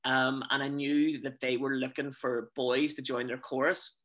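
A man's voice: medium pitch, level tone, measured pace, fairly bright tone. A man in his thirties with a Northern Irish accent, chatting as an interview guest over a video call from a small room at home, telling stories with many fillers. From Northern Ireland. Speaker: guest, stage actor and singer. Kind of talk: casual conversational interview. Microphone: webcam on a video call.

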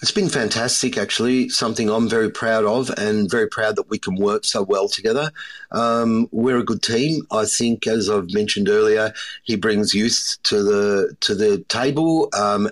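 Man, middle-aged, low voice, level delivery, halting interview answers. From Australia. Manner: thoughtful, many fillers and restarts. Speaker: restaurateur and chef, guest.

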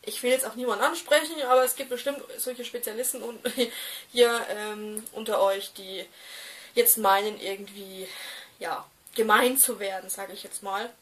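A woman's voice: mid-range voice, steady tone, normal speed, far from the mic. A woman in her mid twenties, vlogging casually to camera from a small room, hesitant with many fillers and restarts. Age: 20s. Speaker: doll collector vlogger.

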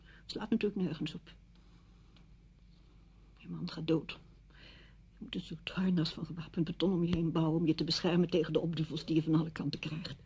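A woman's voice: deep voice, little pitch movement, fairly quick, pauses often, warm tone, husky, full character voice. An actress in a Dutch radio play, playing a wounded, bitter widow who speaks curtly and sarcastically to her friend. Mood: bitter, hurt. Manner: curt, sarcastic. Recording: dull, muffled radio recording.

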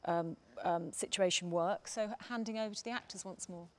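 A woman's voice: on the low side, moderate pace. Hesitant middle-aged woman chairing a panel. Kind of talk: conversational panel moderation. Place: theatre stage, seated panel before an audience.

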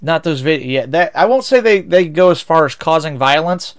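A man's voice: a little high, lively intonation, normal speed, smooth. A young man with a Midwestern American accent, chatting with viewers while streaming a racing game, rambling and correcting himself as he goes. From United States. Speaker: VTuber streamer.

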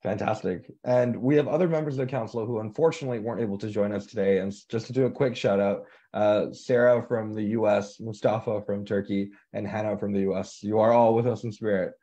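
A man's voice: fairly low pitch, fairly even intonation, a little fast, hardly any pauses, warm tone. A young man chairing a council, moderating a live video call panel in an upbeat, encouraging way. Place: home room. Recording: slightly muffled video call audio.

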